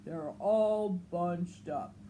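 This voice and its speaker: Woman doing a blunt, slow storybook animal neighbour. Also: on the low side, warm tone, slight background noise, poor audio. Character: blunt male ape neighbour, matter-of-fact observer.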